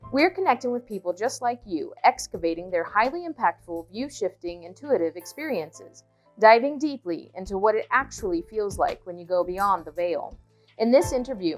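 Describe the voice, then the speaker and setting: A woman hosting an interview, reading a scripted intro and asking gentle questions with soft, encouraging acknowledgements. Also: fairly high pitch. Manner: soft, encouraging listener with many small acknowledgements. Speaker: podcast host and interviewer.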